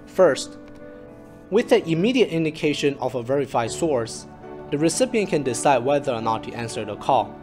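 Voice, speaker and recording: A man with a mid-pitched voice reads a scripted explainer as a researcher. His delivery is measured and formal. Instrumental music plays behind him. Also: sing-song. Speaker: researcher presenting a project. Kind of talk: scripted narration, explainer presentation.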